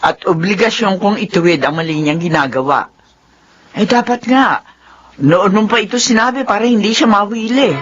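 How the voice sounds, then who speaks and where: A woman's voice: mid-range voice, moderate pace, breathy edge, natural voice. An actress playing a stern, dutiful middle-aged Filipino mother who scolds, in a radio drama. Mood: concerned, indignant. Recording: dull lo-fi radio drama audio.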